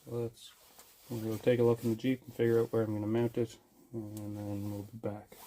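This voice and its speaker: A man with a somewhat low voice talks casually to a handheld camera as he works through a DIY project. His intonation stays very flat, and he thinks aloud in a hesitant, unscripted way. Clear background noise and vehicle ambience sit behind him. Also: a little fast.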